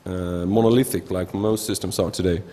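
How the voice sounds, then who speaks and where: A man in his thirties from Sweden, with a Swedish accent, giving an informal technical conference talk in a halting way, often restarting words. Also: low voice, fairly even intonation, mellow. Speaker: researcher presenting at a conference. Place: large indoor conference hall, at a lectern. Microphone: gooseneck lectern microphone. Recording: reverberant lecture hall.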